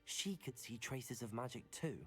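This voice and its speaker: A man's voice: medium pitch, a little slow, fairly bright tone, slightly rough, faint music behind. A man playing an earnest, polite teenage wizard student in a fantasy video game, composed in manner. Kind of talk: video game character acting.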